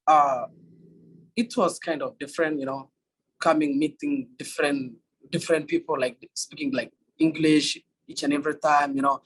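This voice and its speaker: Husky-voiced Kenyan young man. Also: a little high, fairly even intonation, fairly bright tone, rough recording. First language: Swahili. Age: young adult. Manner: halting, many fillers and restarts. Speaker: college runner. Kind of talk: interview answers.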